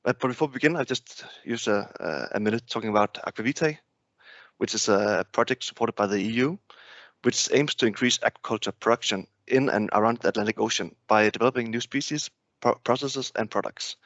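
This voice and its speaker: A man's voice: medium pitch, a little fast, a bit bright, dry recording. A man in his thirties with a Danish accent, hosting a webinar over a headset in a friendly, hesitant way. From Denmark. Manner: friendly, hesitant, with many fillers. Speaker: webinar host. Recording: dry, muffled video call audio.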